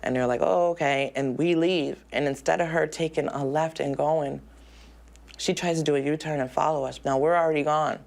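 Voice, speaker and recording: A woman in her forties, an inmate awaiting trial, speaking in a documentary interview in a halting, emotional way with many ums. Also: low-pitched, fairly even intonation, vocal fry, a little mellow. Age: 40s.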